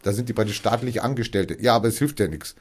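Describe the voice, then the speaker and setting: A man's voice: lowish voice, fast talker. A man who helps refugees, explaining in a casual conversation, acting out quoted dialogue and often restarting his sentences.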